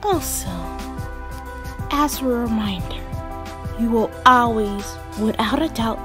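A woman's voice: fairly high pitch, slow pace, over music. A woman voicing a haughty, spiteful young unicorn bully in a cartoon audio drama, with a sneering, mocking drawl. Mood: smug, taunting. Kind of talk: animated fan audio drama.

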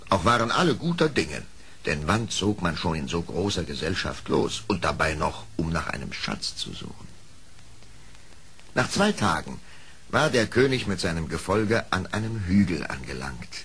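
A man's voice: sits low, a bit bright. A man narrating an audio play as a dramatic storyteller, reading from a script. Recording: faint hiss of old record.

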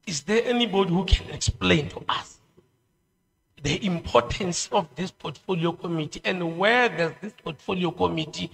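A community member at a podium mic, pressing pointed questions and repeating words for emphasis. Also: male voice, on the high side, gravelly, a bit bright. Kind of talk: public hearing comment. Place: community hall. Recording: long hall reverb.

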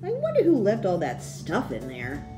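A woman reading a children's storybook aloud, with expressive, deliberate phrasing for young listeners. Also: medium pitch, music in the background.

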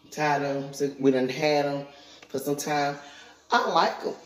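A woman's voice: low-pitched, normal speed. A middle-aged woman, chatting casually and plainly to viewers as a vlogger. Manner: plainspoken, conversational, addressing viewers directly. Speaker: vlogger and parent. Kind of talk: casual vlog chat. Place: indoors in a house, kitchen and hallway. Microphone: phone at arm's length. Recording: roomy, echoey home sound.

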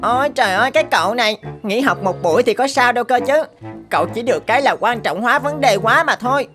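A woman's voice: a little high, lively intonation, fast talker, fairly bright tone. A woman voicing a lazy, carefree young weasel pupil in a children's cartoon, whiny and grumbling. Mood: carefree, pushy. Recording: music behind the voice.

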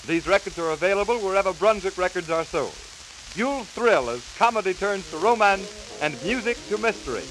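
A man delivering scripted 1930s promotional announcements with brisk, theatrical showman's hype. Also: sits high. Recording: hissy, crackly 1930s 78 rpm record. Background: record hiss and crackle.

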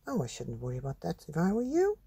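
A man voicing a calm, breezy, reassuring male art teacher in a storybook. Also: fairly high pitch, fast, creaky, husky, no room sound, pitched above the performer's own voice, exaggerated voice. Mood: breezy, unworried.

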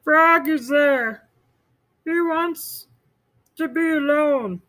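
Man doing a squeaky anxious little toad. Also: extremely slow, bright, forceful tone, exaggerated voice. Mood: pleading. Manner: high squeaky pleading voice. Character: anxious eager male toad, devoted friend.

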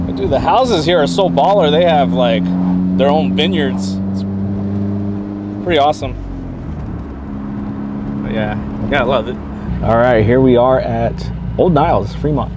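A man from the San Francisco Bay Area narrating a casual driving vlog with his friends, laid-back and laughing often, recorded in a convertible on the road. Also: mid-range voice. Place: in a convertible car on the road, outdoors. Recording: loud road and engine noise.

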